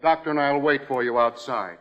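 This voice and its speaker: An actor playing an earnest, emphatic husband in a 1950s radio suspense drama, with deliberate phrasing. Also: male voice, medium pitch, a bit bright, big-room sound, close to an everyday voice. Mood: solemn, procedural. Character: earnest, fair-minded husband presiding over his wife's fate. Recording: dull muffled 1950s radio recording.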